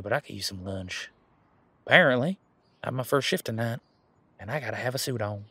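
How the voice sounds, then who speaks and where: Guy playing easygoing werewolf bodyguard in audio rp, medium pitch, bit husky, folksy halting casual talk. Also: a bit bright, no room sound, full character voice. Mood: relaxed, upbeat. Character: young male werewolf bodyguard, protective, easygoing, bashful. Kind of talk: roleplay audio drama, conversational monologue to listener.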